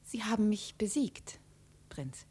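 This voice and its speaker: An actress playing a proud princess, imperious yet wounded, in a theatrical 1950s radio drama style. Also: female voice, mid-range voice, big pitch swings, slow, dark tone, breathy edge, heavily acted. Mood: guarded, hesitant. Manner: theatrical, formal, emotionally heightened.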